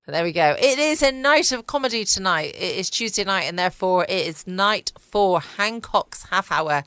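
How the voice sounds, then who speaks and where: A woman's voice: medium pitch, animated, normal speed, sharp tone, smooth, no echo. A woman with a southern English accent chatting casually and cosily as host of a bedtime podcast. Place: small home office studio.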